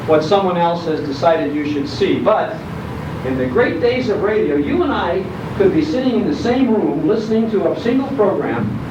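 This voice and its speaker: Older American man speaking in echoey hall. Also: high-pitched. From Chicago, United States. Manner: storytelling, rhetorical questions, humorous. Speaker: public speaker on old-time radio. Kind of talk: nostalgic talk to a live audience. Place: indoor program hall before an audience. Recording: echoey hall, distant mic, noisy, dull.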